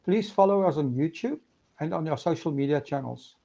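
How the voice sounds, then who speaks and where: Man, mellow, slightly high voice. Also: lively intonation, brisk, vocal fry, muffled recording. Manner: easygoing, with frequent "um" fillers. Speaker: host. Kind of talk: casual video interview.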